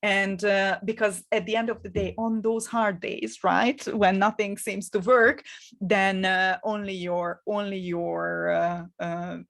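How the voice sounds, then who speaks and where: A middle-aged woman with a Hungarian accent, coaching in an energetic, encouraging webinar talk, often repeating words and pausing with fillers. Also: medium pitch, vocal fry. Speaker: marketing coach.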